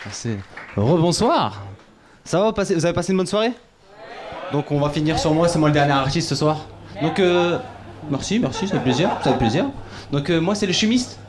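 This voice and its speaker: Fast, high-voiced young male stand-up. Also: noisy background. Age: young adult. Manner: slangy, repetitive, bantering with audience. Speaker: stand-up comedian. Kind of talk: live stand-up comedy. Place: small bar comedy club. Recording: live club with loud audience. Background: loud crowd reactions.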